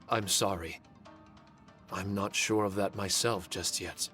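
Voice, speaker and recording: Slow, solemn paper spirit. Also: male voice, deep voice, pushed and bright, full character voice. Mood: apologetic. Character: solemn, soft-spoken magical paper spirit servant. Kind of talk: animated video game voice acting.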